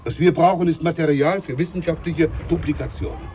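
A man's voice: on the high side, steady tone, brisk, muffled recording, slight background noise, lightly acted. A man playing a pompous old ship's doctor. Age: older. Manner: boastful, condescending, chuckling. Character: pompous older ship's doctor, self-important. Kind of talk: radio play acting.

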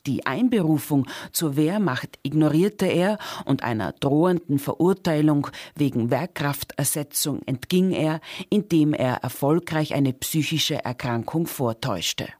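A man presenting on radio, reading a scripted introduction in a measured, factual, literary manner. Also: fairly high pitch, darkish tone, no room sound, clean studio sound.

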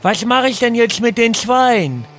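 A man playing a dim, stubbornly confused prank caller who talks in muddled, halting, garbled sentences on comic phone calls. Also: very high-pitched, lively intonation, a bit bright, heavily acted. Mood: helplessly puzzled.

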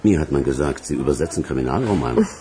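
An actor playing a curt, dismissive police lieutenant in a German radio crime drama, questioning in a brusque, officious way. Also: male voice, rumbling low, expressive, fast talker, warm tone, slight background noise. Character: curt, dismissive male police first lieutenant taking a statement.

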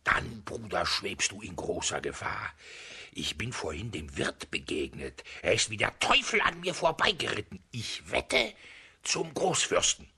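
Sly old soldier, very raspy, sharp, servile, slow, swinging pitch, radio play. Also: male voice, very animated, heavily acted. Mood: hushed, warning. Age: older. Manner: servile, deferential, chuckling. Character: humble, sly old discharged soldier with wooden leg. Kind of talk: children's radio play acting.

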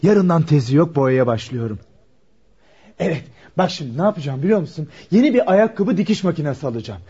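Husky, lightish-voiced young cobbler. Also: male voice, fairly high pitch, expressive, fairly quick, warm tone. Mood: cheerful, eager. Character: polite, dutiful grown son, a young cobbler. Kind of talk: radio drama acting. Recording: dull lo-fi radio recording.

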